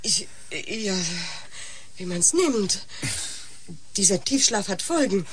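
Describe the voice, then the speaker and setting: Radio drama role: anxious, doting middle-aged mother, medium pitch. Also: expressive, slow, a little hiss or hum. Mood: anxious, tender. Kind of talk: German science-fiction radio drama acting.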